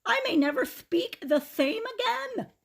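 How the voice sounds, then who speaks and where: A woman voicing an upset, fussy old grandfather without his teeth in a children's storybook, with drawn-out, funny speech. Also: very high voice, sing-song, vocal fry, voice pushed up, exaggerated voice. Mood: wailing. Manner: drawn-out, mumbling, toothless-sounding speech. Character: distraught old grandfather who lost his false teeth.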